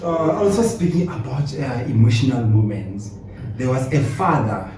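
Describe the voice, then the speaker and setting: A man's voice: medium pitch, very animated, normal speed. A South African man working as an interviewer, asking questions in a casual, conversational way. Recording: very echoey room, distant mic, faint noise.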